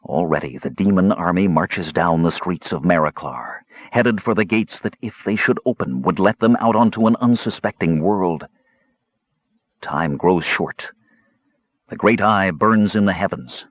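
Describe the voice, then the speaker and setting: A dignified, unhurried cat lord, as a male narrator gives him voice, low and grave with a husky edge. The storybook recording is dry and lo-fi. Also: dry recording. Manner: measured and grave.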